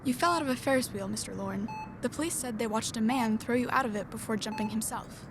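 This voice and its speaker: Casting: female actor for matter-of-fact hospital medic, audio drama, slightly high voice, calm explanations, clear background noise. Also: fast talker, lightly acted. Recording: noisy background ambience.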